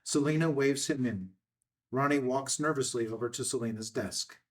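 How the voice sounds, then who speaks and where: A man's voice: mid-range voice, moderate pace. A man reading a film script's stage directions as narrator, in a matter-of-fact way.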